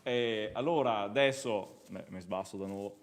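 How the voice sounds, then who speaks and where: A man's voice: on the low side, moderate pace. A man with a Venetian accent informally presenting a live event, hesitant and improvising as he goes.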